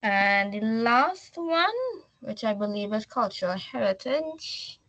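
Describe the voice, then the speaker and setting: A woman's voice: on the high side, lively intonation, slow, fairly bright tone, no room sound, low-quality recording. A woman from Trinidad with a Trinidadian accent, giving a class slide presentation. She reads from slides, then explains in loose everyday talk.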